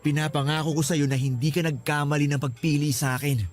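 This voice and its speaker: An actor playing an earnest, smitten boyfriend in a Filipino radio romance, sweet-talking and emotive with a Filipino accent. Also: male voice, on the high side, fairly even intonation, fast, soft background music, lightly acted. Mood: overjoyed.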